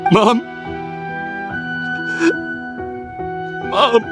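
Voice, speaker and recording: A man's voice: very high-pitched, slow pace, over music. A man voicing a desperate, bitter young criminal researcher in a video game, theatrical and volatile. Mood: desperate, pleading. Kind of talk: English video game voice acting.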